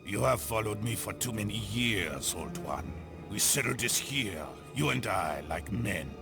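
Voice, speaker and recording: An actor voicing a cruel, threatening villain leader in a video game cutscene, with slow, clipped phrasing. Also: male voice, on the low side, expressive, creaky, music in the background. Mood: defiant. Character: menacing, cruel villain leader of a secret circle, deep-voiced.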